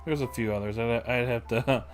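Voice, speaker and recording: A man's voice: medium pitch, fairly even intonation, moderate pace. A man chatting casually with viewers as he streams a game, often thinking aloud. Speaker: livestream gamer. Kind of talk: casual chat with viewers during gameplay. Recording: game music behind the voice.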